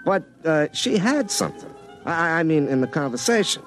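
A folksy young telephone lineman in a vintage radio comedy. He rambles and jokes in everyday slang, his pitch moving up and down in a lively way. Faint music sits behind the old recording. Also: normal speed. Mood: wry, chatty. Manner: rambling, colloquial, joking. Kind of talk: 1950s radio comedy acting.

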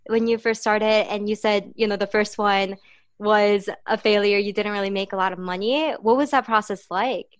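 A woman's voice: mid-range voice, steady tone, normal speed, no room sound. Chatty young woman interviewer, dry sound. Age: 20s. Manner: chatty, leans on "like" fillers. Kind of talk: casual podcast interview.

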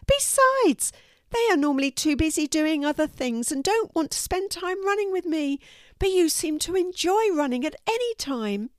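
A woman's voice: extremely high pitch, big pitch swings, moderate pace, no room sound, pitched above the performer's own voice. A woman voicing a big, playful, friendly male ginger cat in a children's story, bouncy and eager. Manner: bouncy, eager, good-natured.

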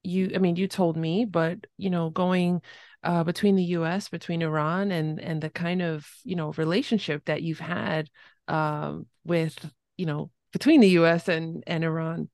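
A woman's voice: on the low side, fairly even intonation, moderate pace, darkish tone, no echo. Chatty woman host with a dry close sound. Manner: chatty and self-aware, with fillers and laughs. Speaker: podcast host. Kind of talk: casual interview conversation.

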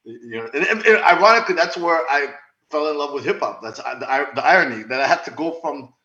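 A man in his forties from Zaire, raised in the United States and Zimbabwe, chatting casually as an interview guest, telling stories in an animated, halting way with repeated words. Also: fairly high pitch, highs cut off, a little hiss or hum. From born in Zaire, raised in the United States and Zimbabwe. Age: 40s. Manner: animated, halting storytelling with repeated words and frequent check-in tags. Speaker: podcast guest. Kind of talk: casual anecdotal interview chat.

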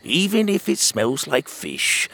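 A man's voice: medium pitch, sing-song, takes it slowly, vocal fry, pushed and bright, husky, voice pushed up, full character voice. A man voicing a kindly old male sailor who says "ye" and "lad", in a storybook reading. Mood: fond. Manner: old-fashioned speech, "ye" and "lad". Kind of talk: storybook character voice.

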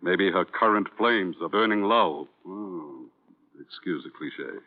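An actor playing a sardonic Broadway producer, biting and theatrical, in an old-time radio crime drama. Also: male voice, sits low, lively intonation, takes it slowly. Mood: sardonic, wistful. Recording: tinny, band-limited vintage radio recording.

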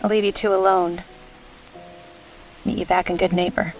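An actress playing a gentle, sad, loyal detective's secretary in a radio drama. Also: female voice, lowish voice, level tone, normal speed, faint music behind, close to an everyday voice. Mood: gentle, somber.

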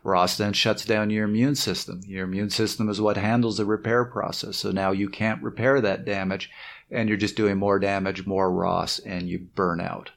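A man hosting a podcast, giving a steady explanatory summary on training. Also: fairly low pitch, moderate pace, vocal fry. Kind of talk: podcast discussion.

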